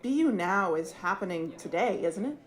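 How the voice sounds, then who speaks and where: A woman playing a curious, sly undercover investigator in an audio drama, casual and measured. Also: lowish voice, lively intonation, close to an everyday voice. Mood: casually probing. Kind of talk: audio drama narration and natural dialogue.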